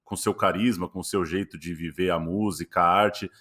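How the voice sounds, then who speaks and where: A Brazilian Portuguese-accented man hosts a podcast interview with a fairly low voice. He speaks in a measured way, building long, winding questions before handing the floor to his guest. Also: steady tone, a bit bright. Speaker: podcast host.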